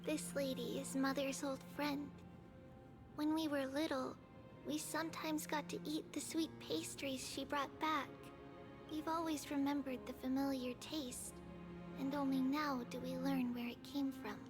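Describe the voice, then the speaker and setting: An actress voicing a calm young heroine in a game, recalling her past in a reflective tone. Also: high-pitched, unhurried. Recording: game audio with loud music.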